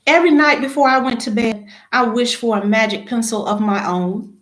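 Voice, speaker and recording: Lady reading a kids book out loud, stresses phrase starts, kinda echoey room sound. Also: on the high side, moderate pace. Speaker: read-aloud storyteller. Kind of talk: reading a children's picture book aloud.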